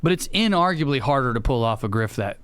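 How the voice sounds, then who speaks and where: A man's voice: medium pitch, expressive, fast, a bit bright, clean tone, no room sound. A man co-hosting a casual comedy podcast, debating in colloquial, folksy phrasing. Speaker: podcast co-host.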